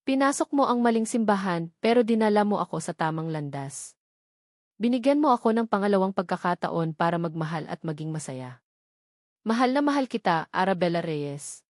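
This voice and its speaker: A woman's voice: medium pitch, lively intonation, moderate pace, a little mellow, no echo, clean studio sound, close to an everyday voice. A woman with a Filipino accent voicing a devoted, tender man in love in an audio story, earnest and emotional.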